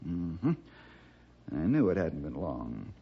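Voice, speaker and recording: Calm, inquisitive ranger, American, husky, lively intonation, old-time radio drama. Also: male voice, very deep voice, takes it slowly, heavily acted. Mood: thoughtful. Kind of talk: 1960s radio drama acting. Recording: dull vintage radio recording.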